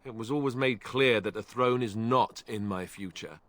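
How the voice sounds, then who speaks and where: An actor playing an awkward young warrior, a secret royal bastard, with a hesitant, self-deprecating and wry manner in a video game. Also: male voice, mid-range voice, normal speed, fairly bright tone, no echo, close to an everyday voice. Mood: earnest.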